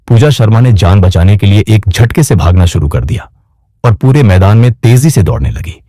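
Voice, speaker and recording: A man's voice: on the low side, mellow, dry recording, clean studio sound. A man narrating a scripted story in a dramatic style as a storyteller.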